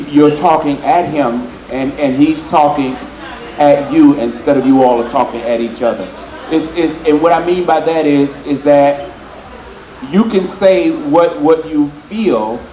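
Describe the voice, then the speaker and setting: Higher-voiced man advising in a roomy space. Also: darkish tone. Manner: deliberate, repeats phrases as he explains. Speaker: panelist giving relationship advice. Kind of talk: unscripted, explanatory advice. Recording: roomy echo with faint noise.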